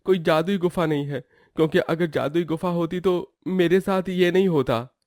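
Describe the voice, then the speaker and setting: A man's voice: fairly high pitch, normal speed, a little mellow, no echo, studio quality, natural voice. A man playing a lazy young cartoon woodcutter who protests plaintively and denies all blame. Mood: whining protest.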